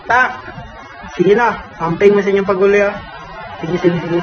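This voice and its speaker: Man acting as high-voiced, restless young Filipino buddy. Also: moderate pace, natural voice. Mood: teasing. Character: Ram, restless young man eager to hang out. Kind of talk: amateur radio drama acting. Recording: dull lo-fi audio under loud music.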